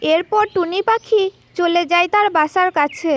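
A woman's voice: very high-pitched, normal speed, heavy background noise. A woman storyteller reading scripted narration for children's cartoon tales.

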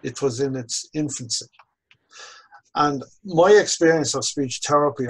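A man in his sixties with an Irish accent, a course instructor telling his own story slowly and reflectively on a video call from a home office. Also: medium pitch, low-quality recording. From Ireland. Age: 60s. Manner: measured, reflective, slows down on key phrases. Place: home office with bookshelves, indoors. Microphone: video call webcam.

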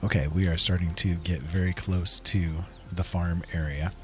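A man hosting a gaming channel, giving casual let's-play commentary in a measured, explanatory, halting way. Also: low-pitched, steady tone, heavy background noise.

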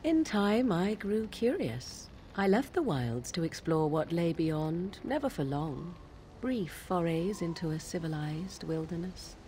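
A haughty young witch of the wilds for a fantasy game. Her voice sits low and swings widely in pitch, with formal, old-fashioned, scornful phrasing. Faint noise sits behind her. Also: expressive, unhurried, mellow. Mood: proud, reflective. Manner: archaic, formal, disdainful phrasing. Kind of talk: video game dialogue acting.

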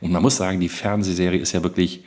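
Man, low voice, emphatic, casual podcast host. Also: flat delivery, quick pace, darkish tone.

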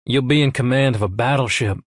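A man voicing a young, injured male fighter pilot in an audiobook, low and strained. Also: fast, no echo, studio quality, close to an everyday voice.

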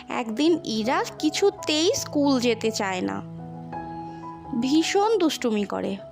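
A woman narrating a scripted ghost story in an expressive, dramatic storytelling manner. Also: high voice.

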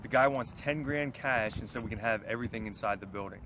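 A man in his forties casually reminiscing about old gym days with a friend, easygoing and quick to laugh. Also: lowish voice. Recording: loud background traffic noise.

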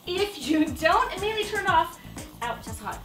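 A high-voiced woman hosts a cooking demonstration in her kitchen. She is chatty and playful, laughs a lot and makes little sound effects as she works. The kitchen is echoey and music plays behind her. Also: sing-song, normal speed, distant mic. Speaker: cooking show host. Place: home kitchen.

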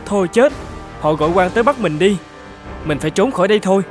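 A scrappy young vagrant from a cartoon folk tale. The voice is very high and youthful. He sounds naive and guileless, with open exclamations. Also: expressive, quick pace, faint music behind. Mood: furtive.

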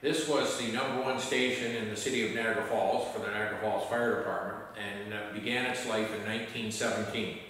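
A man in his fifties giving a relaxed documentary interview in an empty small theatre, with frequent fillers. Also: lowish voice, brisk, few pauses. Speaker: theatre representative. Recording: very echoey hall with music behind.

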